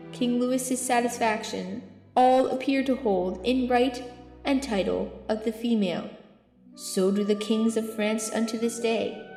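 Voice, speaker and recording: A woman's voice: on the high side, vocal fry, darkish tone. A woman acting a learned, earnest archbishop in an amateur Shakespeare audio drama, with formal, declamatory delivery. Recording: echoey hall, music behind.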